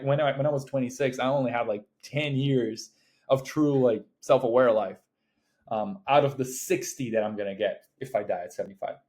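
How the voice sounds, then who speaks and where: A man's voice: medium pitch, a little mellow. A man in his late twenties chatting casually in a vlog, rambling with lots of "like" and "um" and casual swearing. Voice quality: rambling, heavy use of fillers like "like" and "um", swears casually. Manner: laughs between thoughts. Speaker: video creator. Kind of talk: casual talking-to-camera vlog.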